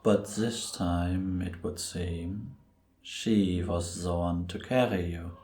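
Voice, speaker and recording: A man playing a mysterious, serene priest with a measured, solemn, cryptic delivery in a cinematic audio drama. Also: low voice, fairly even intonation, darkish tone. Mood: calm, cryptic. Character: mysterious, serene male priest of a wandering sanctuary. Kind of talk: cinematic audio roleplay acting. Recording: echoing sanctuary ambience with noise.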